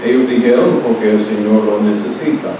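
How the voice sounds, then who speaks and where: A male pastor reading scripture, praying and preaching in a halting way, often restarting his words because Spanish is his second language, at a morning church service. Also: lowish voice. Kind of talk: sermon with scripture reading and prayer. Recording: echoey room, mic far off, steady background noise.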